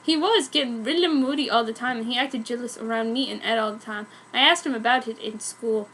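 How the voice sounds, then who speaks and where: A woman's voice: on the high side, brisk, fairly bright tone, slight background noise, rough recording. A woman narrating a fan fiction story as a dramatic reading, in a theatrical, mock-serious way.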